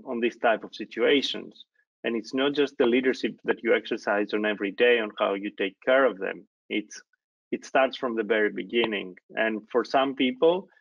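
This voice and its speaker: A man from Greece in his thirties hosting an interview over a video call, talking haltingly with many restarts and fillers. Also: medium pitch, creaky, dry recording. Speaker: host. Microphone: laptop webcam mic on video call. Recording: dry, dull lo-fi call audio.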